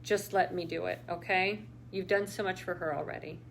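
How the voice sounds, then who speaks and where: A woman's voice: fairly low pitch, fairly even intonation, moderate pace, vocal fry, slight background noise, low-quality recording. A woman voicing an anxious, guilt-ridden young woman who speaks hesitantly and earnestly.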